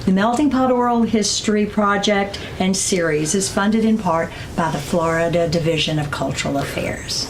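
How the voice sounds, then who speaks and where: A woman's voice: medium pitch, moderate pace, hardly any pauses, roomy sound, noisy background. A woman interviewer politely introducing and questioning a guest in his home. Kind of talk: oral history interview.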